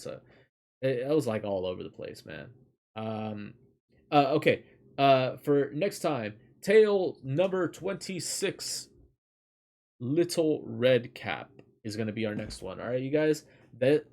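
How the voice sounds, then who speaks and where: A man's voice: medium pitch, creaky, a little mellow. Casual man reading stories and chatting. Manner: casual, rambling, swears lightly. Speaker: storyteller and streamer. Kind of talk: reading a fairy tale aloud, casual livestream chat.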